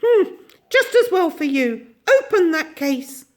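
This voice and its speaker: A woman voicing a tiny, ancient, bossy old shopkeeper with a shrill, squeaky voice that swings between sweetness and soft menace. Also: big pitch swings, slow, exaggerated voice. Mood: menacing.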